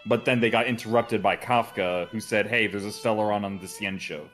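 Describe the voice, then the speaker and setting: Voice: medium pitch, fairly even intonation, fairly quick, fairly bright tone. A livestream commentator chatting casually while reacting to a video game. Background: faint game music.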